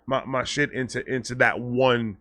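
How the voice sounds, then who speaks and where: A man talking casually and off the cuff to his viewers, excitable and rambling, often repeating and stammering over words, with slangy, sweary phrasing. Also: medium pitch, normal speed. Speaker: online video creator and host. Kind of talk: casual unscripted vlog talk to viewers.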